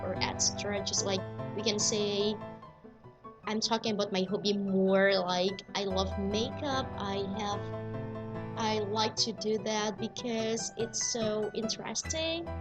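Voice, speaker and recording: A woman teacher with an Indonesian accent giving a recorded English lesson in an upbeat, chatty way, often calling her students "guys". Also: mid-range voice. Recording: lesson video with background music. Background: background music.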